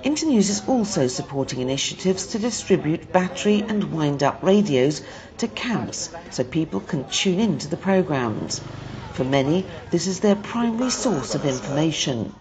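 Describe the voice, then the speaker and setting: A woman's voice: deep voice, big pitch swings, normal speed, darkish tone. A woman reading scripted documentary narration in a news report style. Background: background music.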